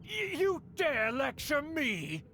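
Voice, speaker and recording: An actor playing a haughty, easily offended noble uncle in a video game, speaking in a pompous, theatrical and formal manner. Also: male voice, extremely high pitch, wildly swooping pitch, slow pace, bright, forceful tone, full character voice. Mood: stern, indignant. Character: haughty, indignant aristocrat uncle, proud schemer. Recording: studio voice under game music.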